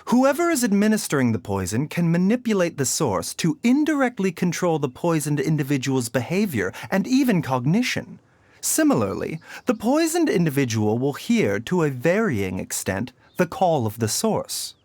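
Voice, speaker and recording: A man voicing a calm, courteous physician who speaks in a measured, explanatory way, in video game dialogue. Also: on the high side, dry recording. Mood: explaining.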